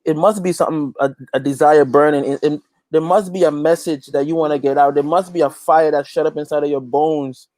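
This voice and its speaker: A man's voice: a little high, fairly even intonation, fairly quick, a little mellow, no echo. A man talking casually as an interview guest, preaching a little and repeating phrases for emphasis. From Guyana. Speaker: interview guest, gospel reggae artist and youth pastor.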